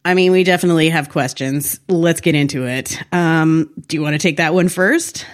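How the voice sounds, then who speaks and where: A woman with a low voice talks as a podcast co-host. She is animated and gossipy, recapping television casually with a wry edge. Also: moderate pace.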